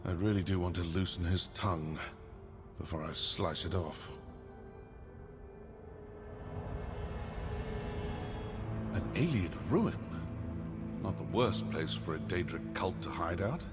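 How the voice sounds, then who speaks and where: An actor playing a sly, wry assassin in a video game, talking in a theatrical yet conversational way. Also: male voice, sits low, lively intonation, moderate pace. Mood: wry, menacing. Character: sly, wry male Dark Brotherhood assassin, menacing yet curious. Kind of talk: video game character dialogue. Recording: long reverberant hall, music behind.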